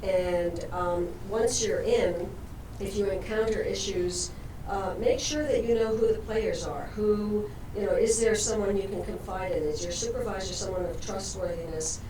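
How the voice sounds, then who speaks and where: A woman's voice: mid-range voice, distant mic. A woman on a panel, a former ethics officer, giving direct and practical advice. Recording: echoey hall from afar with noise.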